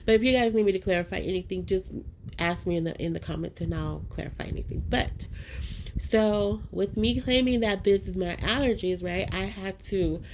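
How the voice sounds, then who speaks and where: A woman's voice: medium pitch, normal speed, darkish tone, a little hiss or hum. Chatty nasal woman crafter. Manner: chatty and self-deprecating, many ums and likes, addresses viewers as y'all and guys. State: nasal from allergies. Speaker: craft vlogger. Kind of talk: casual voice-over narrating a craft project.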